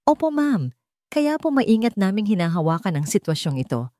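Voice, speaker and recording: A woman's voice: medium pitch, very animated, moderate pace, warm tone, dry recording, clean studio sound, close to an everyday voice. A woman with a Filipino accent voicing a courteous but unyielding senior police officer in an audio drama, formal and professional. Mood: polite, insistent.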